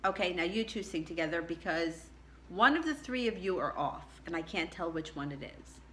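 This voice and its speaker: A middle-aged woman with a low contralto voice recounts her own story in a chatty, candid way, laughing often. Her tone is bright and forceful. A faint background ambience sits under her voice. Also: animated, moderate pace, a little hiss or hum. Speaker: vlogger. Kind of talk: personal storytelling to camera.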